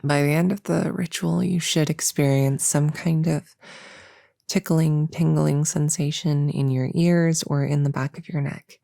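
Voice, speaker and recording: Soft, slow, halting young novice witch played by a woman. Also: deep voice, steady tone, vocal fry, no room sound, studio quality. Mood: earnest explaining. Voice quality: soft, often dropping into whisper. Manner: halting, soft-spoken, hesitant and reassuring. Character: nervous, eager young novice witch, a friend. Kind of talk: ASMR audio roleplay. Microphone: close-up mic in a dry, quiet space.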